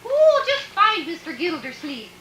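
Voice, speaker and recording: A woman plays a teasing radio-comedy wife with an extremely high voice and wildly animated pitch. She quips good-naturedly in a roomy, echoing space. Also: moderate pace, heavily acted. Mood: teasing, amused. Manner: lively, teasing. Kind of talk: 1940s radio comedy acting. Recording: echoey stage, faint noise.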